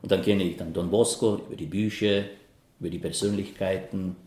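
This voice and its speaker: A man in his fifties from Ukraine, a priest, speaking German with a Ukrainian accent in halting interview answers with frequent fillers. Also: low-pitched, steady tone, darkish tone. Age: 50s. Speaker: Salesian priest. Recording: roomy echo.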